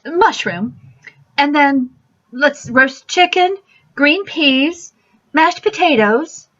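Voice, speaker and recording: Woman acting a very high, flustered housewife, muffled audio. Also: expressive, drawn-out words, a bit bright, exaggerated voice. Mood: shaken, hesitant. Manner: hesitant, fluttery. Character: flustered, genteel housewife hostess at dinner party. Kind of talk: radio mystery acting.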